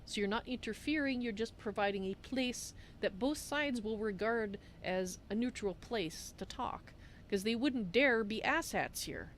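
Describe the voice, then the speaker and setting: A woman's voice: a little high, measured pace, lightly acted. Woman playing a chatty cat-folk adventurer, noisy background. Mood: earnest, persuasive. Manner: speaks of self in third person. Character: female Khajiit cat-folk adventurer, polite, chatty, persuasive. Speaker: roleplay streamer. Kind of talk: improvised in-character fantasy game roleplay.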